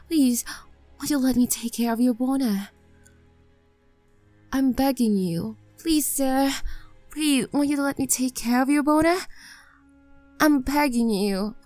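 A woman's voice: high voice, darkish tone, dry recording. A young woman voicing a clingy, obsessively devoted maid in an audio roleplay, pleading and stammering. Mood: begging.

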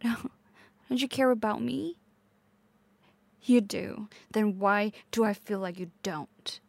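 A woman's voice: medium pitch, slow pace, creaky, no echo. A woman playing a possessive, volatile, manipulative girlfriend in an intense audio roleplay, addressing the listener directly. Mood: accusing, worried. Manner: intense, swearing, swinging between pleading and menace.

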